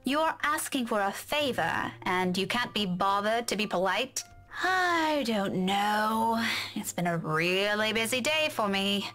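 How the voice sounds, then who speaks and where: A sharp-tongued young woman in a visual novel game. She is exasperated and sarcastic, with lively intonation over music. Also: medium pitch, measured pace. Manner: curt, sarcastic.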